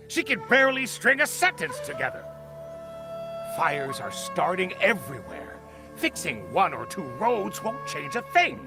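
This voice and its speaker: An actor playing a bitter, hard-nosed senior craftsman in a video game, speaking in a scornful, deliberate, theatrical way. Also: high voice, gravelly, exaggerated voice, rough recording. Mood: heated, accusing. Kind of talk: dramatic video game voice acting.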